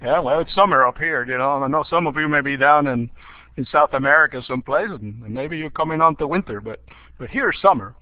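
A man's voice: on the high side, a little fast, dry recording. An older man from Cuba, a dean of education, chatting casually on a webinar with lots of fillers and restarts. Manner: hesitant, many fillers and restarts, chuckles at his own jokes. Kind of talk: casual spoken overview on a webinar. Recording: dull lo-fi online audio.

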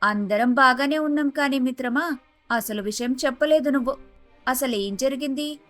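High-voiced, inquisitive woman friend voiced by actress. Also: moderate pace, a bit bright, no echo, soft background music. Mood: concerned. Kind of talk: animated moral story dubbing.

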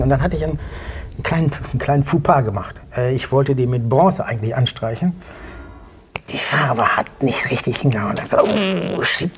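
Husky middle-aged man, casual and self-assured. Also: on the high side. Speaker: interview guest, artist. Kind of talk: casual anecdotal chat. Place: indoor studio set, seated at a table.

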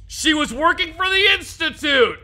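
Bright, animated gaming commentator man. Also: very high-pitched, normal speed. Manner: animated, joking, laughing. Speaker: let's play commentator.

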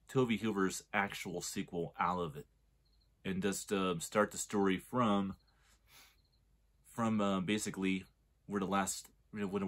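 Man, 40s low-pitched casual film reviewer, rambling and argumentative, frequent restarts. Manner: rambling, restarts sentences, argues a point. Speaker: movie reviewer.

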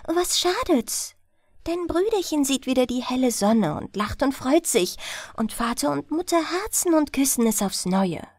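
A woman voicing a melancholy, bargaining female water spirit in a storybook, slow and wistful. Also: high-pitched, lively intonation, no room sound, pitched above the performer's own voice. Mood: persuasive.

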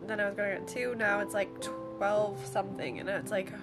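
A young woman in her twenties vlogging casually from her cozy wooden home, chatty and upbeat and a little hoarse from a cold. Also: mid-range voice, steady tone, moderate pace, over loud music. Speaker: vlogger and songwriter. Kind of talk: casual chat to camera. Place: cozy wooden home kitchen and living room.

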